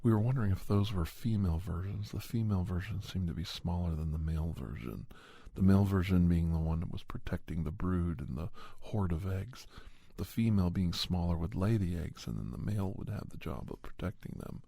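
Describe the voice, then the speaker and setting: A man narrating a first-person horror story in ASMR style, in the measured, halting manner of a scientist recounting events. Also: sits low, expressive, vocal fry, dark tone, breathy edge, dry recording. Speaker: ASMR storyteller and podcast host.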